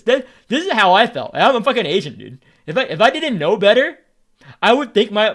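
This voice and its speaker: Young man, casual streamer, provocative and crude, high voice that swings a lot. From United States. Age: young adult. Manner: brash, joking, crude and provocative.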